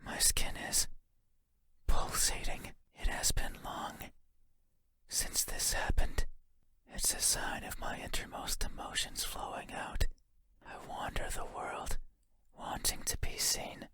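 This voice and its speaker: Man acting whispering, lonely monster in the dark. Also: close to an everyday voice. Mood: yearning, unsettling. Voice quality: breathy, unvoiced whisper. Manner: halting, intimate, musing, formal phrasing. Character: lonely wordless monster lurking in the dark, yearning, eerie. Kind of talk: video game horror monologue.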